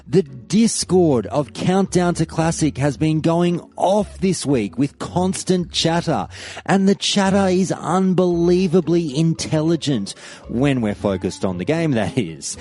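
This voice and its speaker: A man hosting a podcast, chatting and reading listener emails aloud in a lively, expressive way. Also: fairly high pitch. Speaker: podcast host.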